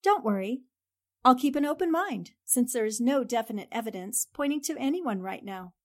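A woman playing a polite, cautious newspaperwoman in a 1940s-style radio drama, measured and reserved. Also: fairly high pitch, lively intonation. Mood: cautious, reassuring.

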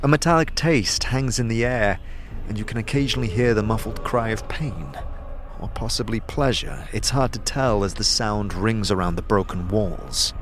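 A man narrating an atmospheric scripted fantasy story in a measured, dramatic manner. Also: fairly low pitch, a bit bright, slight background noise.

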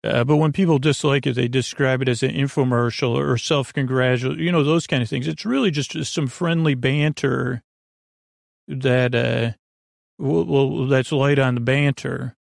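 Male host for a bedtime podcast. Creaky, fry-heavy voice, rambling and hesitant, lulling delivery, very dry recording. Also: mid-range voice, steady tone, moderate pace, dry recording. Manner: meandering, hesitant, trailing off into tangents. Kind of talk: rambling sleep podcast intro, soothing monologue.

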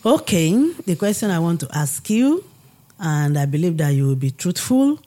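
Middle-aged nigerian woman, nigerian accent, lively pitch, earnest and deliberate. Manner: earnest, deliberate, laughs warmly. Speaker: guest, host's mother. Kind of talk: casual family podcast chat.

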